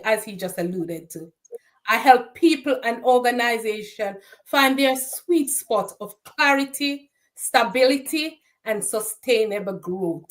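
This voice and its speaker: A woman's voice: mid-range voice, low-quality recording. A middle-aged woman with a Jamaican accent, giving an emphatic, preacher-like introduction of herself as a podcast guest. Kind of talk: inspirational self-introduction.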